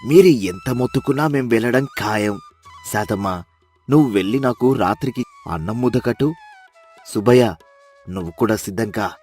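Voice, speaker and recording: A man's voice: medium pitch, moderate pace, pauses often, darkish tone, breathy edge, soft background music, close to an everyday voice. A man playing a greedy, stubborn village husband in a moral story, talking in a bossy, dismissive, persuasive way.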